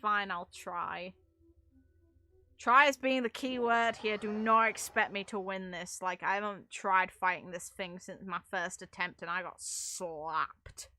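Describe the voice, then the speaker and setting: Bright-voiced woman streaming a game. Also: medium pitch, steady tone, measured pace, no room sound. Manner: rambling, wry, mildly sweary. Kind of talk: casual live stream chat while playing a video game. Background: game music.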